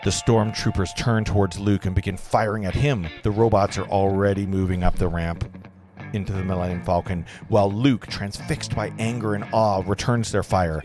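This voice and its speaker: A man's voice: on the low side, fairly even intonation, moderate pace. A man acting as narrator at a table read, reading screenplay stage directions aloud earnestly, with the odd stumble. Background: music.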